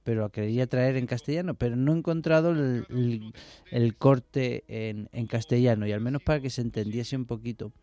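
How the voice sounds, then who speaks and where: A man's voice: medium pitch, moderate pace. Easygoing man with a Madrid accent. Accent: Madrid Spanish. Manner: chatty, laughs often, relaxed explanations. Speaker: conductor and violinist, studio guest. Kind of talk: casual radio chat.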